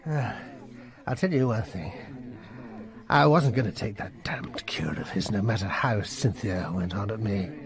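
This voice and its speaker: A man's voice: lowish voice, lively intonation, unhurried, a crowd in the background. An actor playing a jittery, hard-drinking upper-class English military man in a radio drama, with a blustering, stammering manner. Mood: tipsy suspicion. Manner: blustering, stammering, slurred old-fashioned slang.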